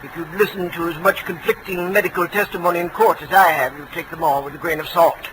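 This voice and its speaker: A man's voice: on the high side, fairly even intonation, quick pace, lightly acted. A man playing a jovial, slick, pompous lawyer in 1940s radio style, with florid, hemming, old-fashioned speech. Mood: breezy, scheming. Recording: noisy vintage radio recording.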